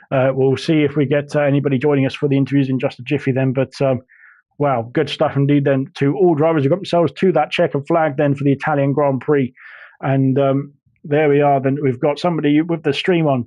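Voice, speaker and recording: A man commentating a sim racing league, excitable and fond of repeating "indeed" and "then", his voice going hoarse. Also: mid-range voice, no echo, dull audio. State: losing his voice. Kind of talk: post-race commentary.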